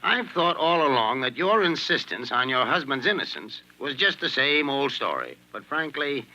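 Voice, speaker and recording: An actor playing a shrewd, courteous police inspector in a 1940s radio drama, speaking in a measured, probing way. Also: male voice, mid-range voice, big pitch swings, pushed and bright, muffled recording. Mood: thoughtful. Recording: vintage 1940s radio recording.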